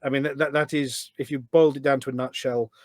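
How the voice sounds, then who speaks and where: A man speaks as an interview guest in a dry, close recording. His pitch is average and his delivery is keen and explanatory, walking through points step by step and often framing them as questions. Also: flat delivery, quick pace, no echo. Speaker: podcast guest, voice pack developer. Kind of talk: enthusiastic explanatory interview chat.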